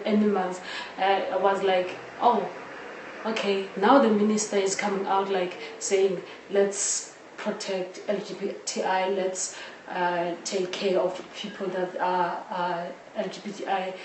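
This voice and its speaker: A woman with a South African accent answering interview questions in a halting way, often repeating words. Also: mid-range voice, little pitch movement, a little mellow. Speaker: interviewee, audio drama creator. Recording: roomy echo, distant mic, faint noise.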